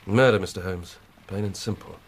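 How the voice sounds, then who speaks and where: An English actor playing an earnest, plain-spoken police inspector in a radio drama, reporting methodically and emphatically. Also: male voice, low-pitched, normal speed, a little hiss or hum. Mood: earnest, puzzled. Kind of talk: 1990s British radio drama acting.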